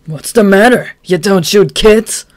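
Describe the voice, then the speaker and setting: A brash young fighter bursting with swagger, shouting his taunts loud and raised, as a male narrator voices him. He sounds cocky and eager to start trouble. The storybook reading is dry and close. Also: a little high, moderate pace, no room sound, lightly acted. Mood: taunting. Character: brash, hot-headed young man spoiling for a fight.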